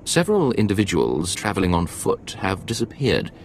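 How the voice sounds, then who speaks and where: A man's voice: deep voice, wildly swooping pitch, moderate pace, slight background noise, full character voice. An actor playing a businesslike male commander in a video game, giving an urgent, formal military briefing. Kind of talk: video game cutscene acting.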